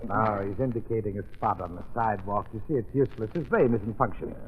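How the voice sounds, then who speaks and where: Earnest, excitable doctor: rapid, animated, muffled vintage radio sound. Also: male voice, fairly low pitch, slightly rough. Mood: intrigued. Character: excitable, concerned male physician, earnest ally. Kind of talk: old-time radio drama acting. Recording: muffled narrow-band old radio recording.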